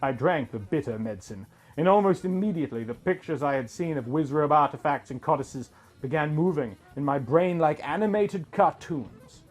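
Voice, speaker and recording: Husky guy narrating a story, pitch moves around a lot, music underneath. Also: lively intonation, normal speed. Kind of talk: scripted first-person story narration.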